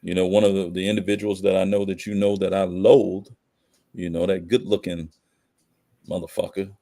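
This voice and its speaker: An American man with a fairly low voice speaks as a guest on a podcast. He is emphatic and opinionated, mixing slang with pointed rhetorical questions as he makes his case. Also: little pitch movement, normal speed, vocal fry, warm tone. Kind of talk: opinionated conversational commentary.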